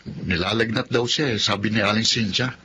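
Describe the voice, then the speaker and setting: An actor playing a helpful, respectful office worker in a Filipino radio drama. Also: male voice, lowish voice, a little fast, noisy background, lightly acted.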